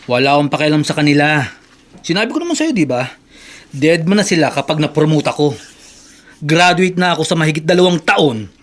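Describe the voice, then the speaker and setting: An actor playing a proud, hot-tempered former janitor in a radio drama, emphatic and defiant. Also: male voice, fairly high pitch, expressive, brisk, close to an everyday voice. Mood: boastful. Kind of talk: Tagalog radio drama acting.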